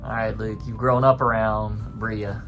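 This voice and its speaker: A man's voice: on the low side, little pitch movement, unhurried, dull audio, over music. A man interviewing soccer players, asking friendly, upbeat questions in a casual way.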